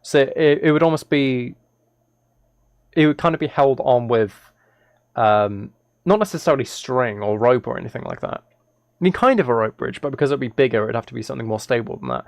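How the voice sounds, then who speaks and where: Male game streamer, gravelly creak, mid-pitched, casual and thinking aloud. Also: brisk, no echo. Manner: thinking aloud, self-correcting. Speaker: live streamer. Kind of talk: casual gaming livestream commentary, chatting with viewers.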